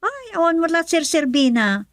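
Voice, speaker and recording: An excitable, mocking Filipino woman in a radio drama. Her voice sits very high, and the recording is dry. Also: normal speed, no echo. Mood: agitated.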